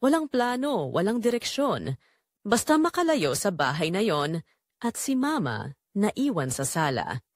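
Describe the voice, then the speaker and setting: Expressive woman narrating a drama. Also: mid-range voice, measured pace, no room sound, clean studio sound. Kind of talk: dramatic first-person scripted narration.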